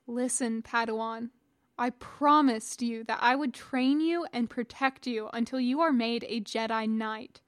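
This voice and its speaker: A woman voicing a calm, firm man who mentors a young apprentice, speaking in a measured way. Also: on the high side, dry recording, clean studio sound, natural voice. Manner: measured, steady and stern.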